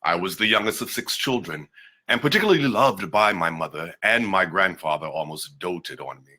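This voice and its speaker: A man's voice: low voice, expressive, moderate pace, bright, forceful tone, exaggerated voice. A man giving a dramatic reading as an African prince recounting his childhood. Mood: earnest. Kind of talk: dramatic reading of a historical narrative.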